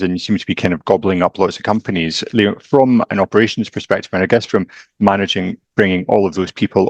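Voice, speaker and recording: A man's voice: sits low, no echo. A man hosting an interview series, upbeat and chatty, with many fillers. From Glasgow, Scotland. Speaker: interviewer, host.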